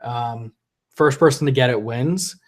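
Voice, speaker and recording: A man's voice: medium pitch, measured pace. Rambling tipsy man on a livestream. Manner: rambling, loose and profane, reading chat aloud. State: tipsy from drinking. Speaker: livestream host. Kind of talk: casual live stream chat. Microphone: desk USB condenser mic.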